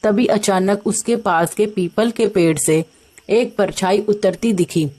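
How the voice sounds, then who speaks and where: Woman storyteller reading a scripted tale, medium pitched voice. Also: unhurried, mellow. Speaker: narrator.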